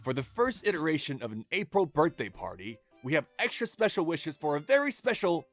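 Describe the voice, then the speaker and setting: A man's voice: a little high, very animated, fairly quick, a bit bright, soft background music, full character voice. An actor playing an eager, goofy young henchman in a dubbed game story, theatrical and playful. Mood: showy, excited.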